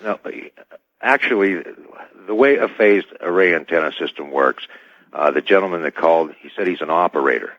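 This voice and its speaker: Very deep-voiced man, dull lo-fi line. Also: expressive, dry recording. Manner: halting, technical phrasing. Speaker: expert guest on radio show. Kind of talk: technical explanation in live interview. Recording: dull lo-fi radio phone-in line.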